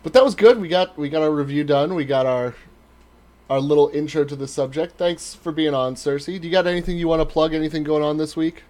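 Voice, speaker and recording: A man's voice: fairly high pitch, quick pace, darkish tone. A man hosting a casual podcast chat, joking sarcastically and swearing freely. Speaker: podcast host.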